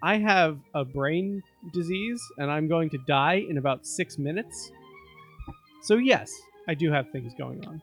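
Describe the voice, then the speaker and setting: A man co-hosting a comedy podcast with a slightly higher voice. He plays up mock doom with deadpan exasperation, and faint music sits in the background. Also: measured pace. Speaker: podcast co-host. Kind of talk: comedic improvised banter. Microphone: studio microphone and headphones.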